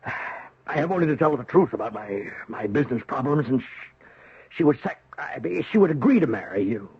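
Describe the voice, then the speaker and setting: An actor playing a desperate, middle-aged businessman father, pressing and blustering in a husky voice in a 1970s radio drama. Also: medium pitch, big pitch swings, normal speed, full character voice. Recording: dull, lo-fi old radio recording.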